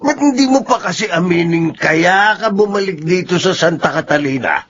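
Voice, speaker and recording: A man's voice: high-pitched, expressive, moderate pace, a bit bright. A man playing a drunken, embittered middle-aged man in a Filipino radio drama, emotional and self-pitying. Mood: challenging, bitter. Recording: dull lo-fi audio with background noise.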